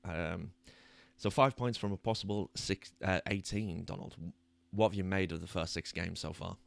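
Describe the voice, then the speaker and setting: British man, podcast host, lowish croaky voice, dry sound, chatty. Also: steady tone, normal speed, no room sound. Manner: chatty, self-deprecating. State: slightly croaky voice. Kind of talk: casual chat. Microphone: new microphone.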